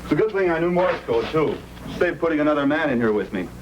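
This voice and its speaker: A man's voice: on the high side, animated, fast, natural voice, low-quality recording. A man playing a helpful, welcoming frontier police officer in an old-time radio drama, friendly and earnest. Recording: old radio recording, faint noise.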